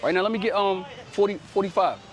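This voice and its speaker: Man, street preacher, quick delivery, high voice, keeps asking "right?", faint music behind. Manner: ends almost every sentence with "right?"; commanding, calls on the reader to read. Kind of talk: street teaching. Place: outdoors in a city.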